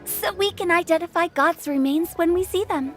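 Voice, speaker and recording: A high, girlish voice for a playful young woman funeral director. She is theatrical and teasing, a wordy game character with music behind her. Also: moderate pace. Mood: breezy, explaining. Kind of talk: video game character dialogue.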